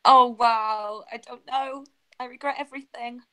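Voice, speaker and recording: A woman's voice: on the high side, fairly even intonation, measured pace, pushed and bright. A woman answering casually and hesitantly as a quiz contestant.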